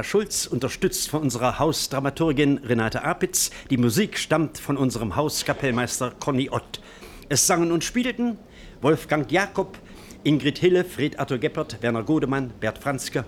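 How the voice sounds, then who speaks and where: A man's voice: medium pitch, moderate pace, slight background noise, close to an everyday voice. A man announcing as the master of ceremonies of a radio play. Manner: lively, announcing. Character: genial showman master of ceremonies.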